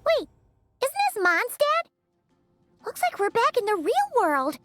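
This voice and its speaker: A woman voicing a tiny, excitable, childlike fairy companion in a game, squeaky and exclamatory. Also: measured pace, exaggerated voice. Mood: puzzled, curious. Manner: refers to self in third person, exclamatory. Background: music.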